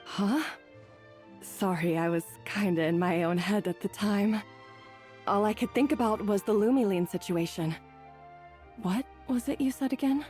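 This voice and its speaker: An actress voicing a self-doubting woman head chef who speaks in a halting, apologetic way. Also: mid-range voice, a little mellow, soft background music, natural voice. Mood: distracted. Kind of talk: video game voice acting.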